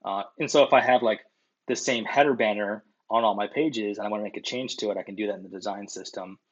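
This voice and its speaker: A man's voice: fairly low pitch, fast talker. A man in his thirties, a designer guest, chatting over a video call from his home office, with lots of fillers. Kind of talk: casual interview answers. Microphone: video call webcam. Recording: dull lo-fi video call audio.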